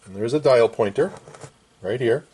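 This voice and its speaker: A man's voice: medium pitch, normal speed, mellow, slightly rough. A middle-aged man casually explaining a hands-on repair at an indoor workbench in short, plain phrases. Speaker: vintage radio restorer and presenter.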